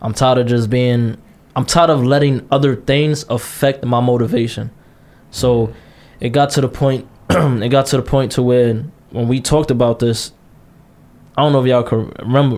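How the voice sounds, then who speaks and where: A man's voice: mid-range voice, darkish tone. Blunt, passionate man in his twenties. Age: 20s. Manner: blunt, repetitive, passionate, curses a lot. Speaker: podcast co-host. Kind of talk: casual podcast chat.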